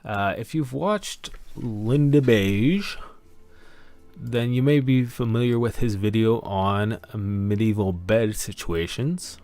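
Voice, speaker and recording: A man talks to himself in a casual, rambling way while working on a stream. His delivery is unhurried and his voice has a gravelly fry. Faint music plays behind him. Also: on the low side, a little mellow. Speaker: streamer, game master. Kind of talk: casual thinking-aloud stream commentary.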